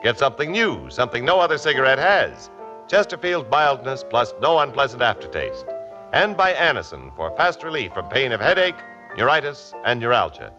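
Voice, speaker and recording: A man's voice: mid-range voice, wildly swooping pitch, normal speed. An American man working as a radio announcer, reading scripted show credits and sponsor copy in a formal, emphatic 1950s showman style. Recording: muffled old radio recording over music.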